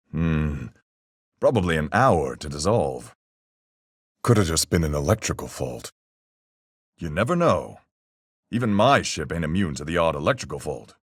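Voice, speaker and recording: An actor playing a gruff, level-headed sea captain with salty slang and a folksy, measured manner in a video game. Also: male voice, low voice, dry recording, clean studio sound, full character voice. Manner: salty seafaring slang, measured deductive delivery. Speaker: voice actor in a fan-made mystery game. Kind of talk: video game character voice lines.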